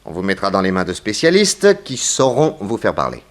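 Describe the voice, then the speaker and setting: Insistent, sardonic police detective. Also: male voice, low voice, huge pitch swings, normal speed. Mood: sardonic, threatening. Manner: pressing, sardonic, firm. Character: insistent, coolly sceptical male police detective interrogating suspects. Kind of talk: 1960s French radio serial acting.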